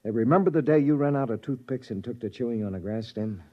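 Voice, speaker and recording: A man's voice: mid-range voice, fast talker, darkish tone, lightly acted. A man playing a spaceship crewman who recounts a doomed expedition in 1950s radio drama style. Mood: reasoning. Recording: dull vintage radio recording, music behind.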